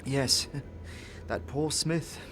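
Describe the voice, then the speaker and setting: A man's voice: medium pitch, drawn-out words, a bit bright, faint music behind, voice pushed up. A man voicing a nervous, scruffy young man with a hesitant, stammering delivery in a fantasy audio drama.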